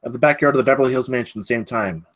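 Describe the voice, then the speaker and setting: A man with a mid-pitched voice serves as narrator at a table read. He reads out screenplay stage directions and scene headings. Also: quick pace.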